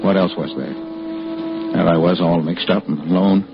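A man's voice: low-pitched, a little slow, creaky, over music. An actor playing an anxious, drunken, heartbroken husband in old American radio drama, rambling and stammering as he goes. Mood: maudlin. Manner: rambling, hesitant, stammering and repeating himself. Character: anxious, drunken, heartbroken husband hiding behind a false name. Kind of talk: 1940s-50s American radio drama acting. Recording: muffled, hissy old radio recording.

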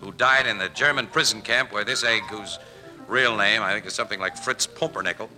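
An American actor playing a wisecracking, hard-boiled crime photographer in brisk, slangy 1940s radio drama. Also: male voice, lowish voice, sharp tone, rough recording. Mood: wry, relaxed. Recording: vintage 1940s radio broadcast with music.

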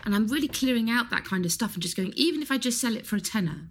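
A woman with a slightly husky voice chats on a podcast. She talks briskly, swears freely and laughs easily. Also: a little high, expressive. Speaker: podcast co-host. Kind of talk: casual chat.

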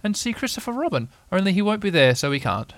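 A timid little pig from a storybook, played by a man. The voice is pitched high and sounds eager, childlike and a bit anxious. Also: big pitch swings, fast talker, a bit bright, no room sound, exaggerated voice.

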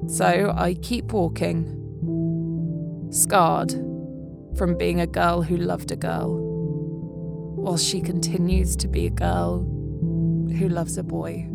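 A woman's voice: fairly low pitch, little pitch movement, slow pace, vocal fry, long pauses, loud music behind the voice. A woman reading a first-person poem aloud as a spoken-word piece.

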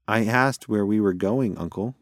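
A man's voice: fairly low pitch, moderate pace, no room sound, clean studio sound, lightly acted. A man voicing an obliging, good-natured young male mouse in a children's storybook, polite and agreeable. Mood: easygoing.

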